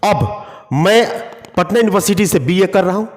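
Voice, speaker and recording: A man teaching English phrases in a classroom lesson, slowly repeating them word by word. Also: high voice, in a large hall.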